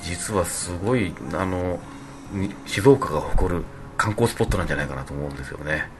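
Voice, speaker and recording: Lively, fast-talking man with a low voice. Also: background noise. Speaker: radio show co-host. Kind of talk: casual radio chat. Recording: faint music behind the talk. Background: faint background music.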